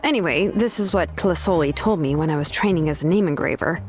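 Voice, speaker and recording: A woman's voice: fairly low pitch, brisk, faint music behind, lightly acted. A woman playing a candid, laid-back young name engraver in a video game, explaining things with casual hesitations.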